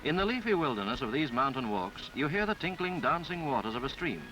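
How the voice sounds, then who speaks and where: Lively-pitched man narrating a 1950s newsreel. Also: low-quality recording. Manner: formal, florid, measured delivery. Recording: 1950s film soundtrack with background music. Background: music.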